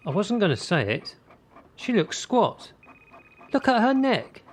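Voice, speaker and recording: An actor playing a critical, controlling gymnastics coach in a radio drama, measured and condescending. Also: male voice, high-pitched, big pitch swings, heavily acted. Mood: disparaging.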